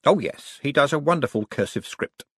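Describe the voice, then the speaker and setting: A man voicing a prim, precise male clerk in a measured, lifted voice. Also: on the high side, lively intonation, fairly bright tone, slightly rough, no room sound, clean studio sound, pitched above the performer's own voice. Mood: matter-of-fact.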